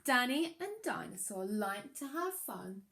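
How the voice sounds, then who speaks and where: Slow, expressive woman reading a storybook. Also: medium pitch, background noise. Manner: expressive, dramatic emphasis on rhyming lines. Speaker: primary school teacher reading aloud. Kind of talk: children's picture book storytelling.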